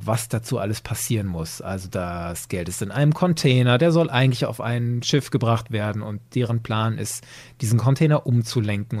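A man's voice: medium pitch, darkish tone. A man hosting a podcast, retelling a story in a conversational way. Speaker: podcast host.

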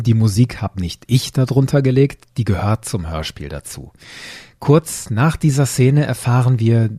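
A man's voice: mid-range voice, warm tone, slightly rough, no room sound, studio quality. A man hosting a podcast, narrating and explaining, posing questions and answering them. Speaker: podcast host.

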